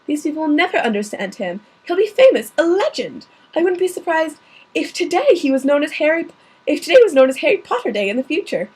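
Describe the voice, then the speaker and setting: A woman's voice: high voice, very animated, fast talker, a little mellow, voice pushed up. A woman doing a stern anxious old professor. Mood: worried. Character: stern older female professor, fretful.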